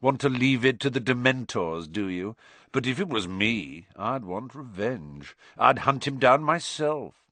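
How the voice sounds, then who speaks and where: Storybook teen villain, read by a man: spiteful, drawling, quietly taunting, lively pitch, dry sound. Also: measured pace, dry recording. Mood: goading. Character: sly, spiteful, sneering schoolboy bully.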